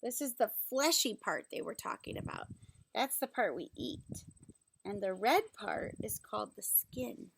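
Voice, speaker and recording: A woman reading a children's book aloud like a teacher, pausing to explain things simply and ask questions. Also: medium pitch, lively intonation, gravelly, husky, rough recording.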